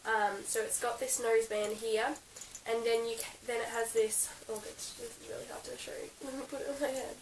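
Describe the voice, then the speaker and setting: A young Australian woman, croaky from being sick, chatting casually in a vlog about her favourite horse gear, giggling often and filling her talk with ums and likes. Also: on the high side, level tone, moderate pace, few pauses. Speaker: equestrian vlogger. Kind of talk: casual show-and-tell vlog. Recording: roomy, slightly distant with hiss.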